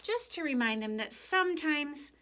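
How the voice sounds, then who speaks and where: A woman reading a picture book aloud and chatting to children, speaking in an expressive, gentle and direct way. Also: high voice, moderate pace. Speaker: children's story reader for a church. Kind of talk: picture book read aloud, then informal reflection to children.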